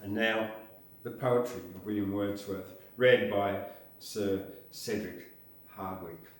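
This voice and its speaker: An older man presents a prepared introduction, reading it aloud with measured care. His pace is slow, and the room around him rings with heavy echo. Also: on the low side, steady tone. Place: small room indoors. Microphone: camera a short distance away. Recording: very echoey room.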